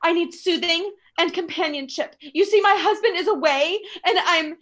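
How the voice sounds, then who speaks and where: A woman performs a frightened, imperious housewife in a melodramatic radio thriller. Her voice sits extremely high, and she is nervous and self-pitying, insisting on being obeyed. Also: moderate pace, fairly bright tone. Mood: insistent, nervous. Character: panicky, imperious, self-pitying invalid wife alone at night. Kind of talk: suspense radio play acting.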